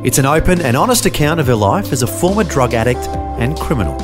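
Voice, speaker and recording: An Australian man introduces the program in a smooth, measured presenting voice, pitched slightly high. Guitar music plays clearly behind him. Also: very animated. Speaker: podcast host. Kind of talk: scripted show introduction.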